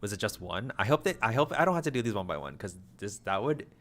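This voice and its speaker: A young man with a somewhat low voice chats his way through a game stream. His delivery is flat and low-energy, drifting into jokey, self-deprecating asides. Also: fast talker. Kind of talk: casual livestream chat.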